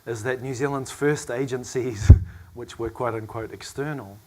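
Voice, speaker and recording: A hoarse man in middle age chairs a panel, his voice worn thin by a cough. He speaks reflectively and at a measured pace, at an ordinary middle pitch for a man. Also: steady tone. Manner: reflective, measured, with soft asides and small laughs. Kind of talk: panel discussion remarks.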